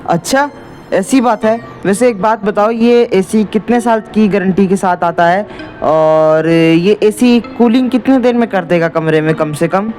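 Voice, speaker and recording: A man voicing a brash, excitable young villager who exclaims loudly and melodramatically in a cartoon comedy story. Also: sits high, a little slow, faint music behind, pitched above the performer's own voice. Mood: curious.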